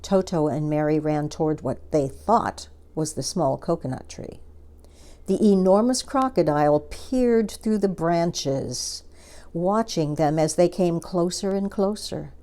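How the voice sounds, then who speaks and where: A woman's voice: lowish voice, unhurried, warm tone. A woman reading a children's story aloud as a storyteller, expressively and with dramatic emphasis.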